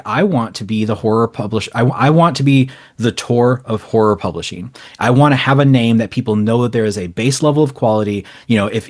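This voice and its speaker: A man talking as a publisher guest in a casual interview, conversational and anecdotal. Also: mid-range voice.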